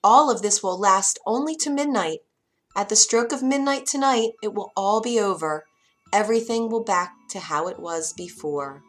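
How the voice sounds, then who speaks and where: A woman voicing a brisk, bossy fairy godmother who gets huffy. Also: medium pitch, natural voice. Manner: huffy, matter-of-fact.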